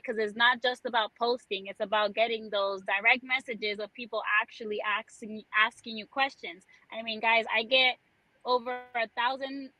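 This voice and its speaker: A woman guest on a live stream, pitching her social media help in an upbeat, persuasive way with many "right?"s. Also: sharp tone, dry recording, rough recording.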